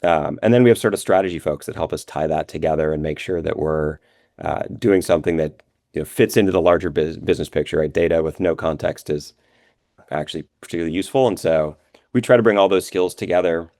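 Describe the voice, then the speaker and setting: A man's voice: a bass voice, fast, warm tone, no room sound, studio quality. A man answering questions as a guest in a business interview, explaining things conversationally with frequent filler phrases. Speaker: interview guest, revenue operations executive.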